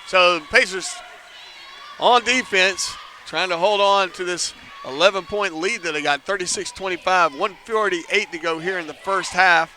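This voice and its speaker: Bright high-voiced male sports commentator. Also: slight background noise. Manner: chatty, joking asides about himself; lifts to near shouts on big plays. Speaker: play-by-play commentator. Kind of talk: live basketball play-by-play. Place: indoor basketball arena.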